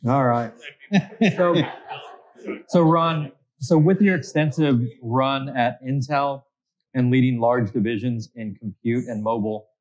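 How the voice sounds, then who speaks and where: A man hosting a panel session, plain-spoken and relaxed, introducing guests and asking long prepared questions. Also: medium pitch, measured pace, rough recording. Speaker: host of the session.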